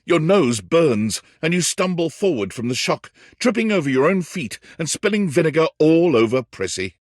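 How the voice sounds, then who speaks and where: A man playing a whimsical, wry yet tender storybook narrator in a video game, with theatrical, deliberate phrasing. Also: fairly high pitch, big pitch swings, a little mellow, dry recording, clean studio sound. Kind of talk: scripted second-person storybook narration.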